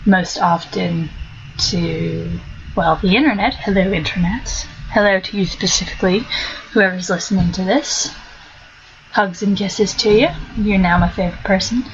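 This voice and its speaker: A woman's voice: medium pitch, vocal fry. Woman, blended American-Australian accent, chatty and rambling, slightly dull home recording, faint hiss. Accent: mixed American-Australian. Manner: chatty, many ums and restarts. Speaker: vlogger. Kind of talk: casual monologue to camera.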